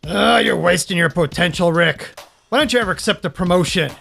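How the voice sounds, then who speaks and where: Man acting gravelly, exasperated police chief. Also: high-pitched, brisk, fairly bright tone, soft background music, exaggerated voice. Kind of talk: audio drama acting.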